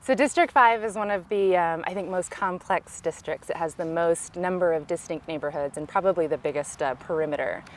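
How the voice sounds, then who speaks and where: A woman with a Californian American accent giving measured, explanatory answers in a conversational interview as a local political candidate, recorded outdoors. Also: fairly low pitch, slight background noise. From California, United States. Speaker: city council candidate, interviewee. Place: outdoors in a park.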